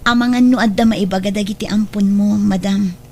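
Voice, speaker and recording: A woman's voice: medium pitch, steady tone, a little fast, darkish tone, slight background noise, close to an everyday voice. A woman playing a dutiful, deferential female assistant in a radio drama, with an Ilocano accent. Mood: polite, attentive.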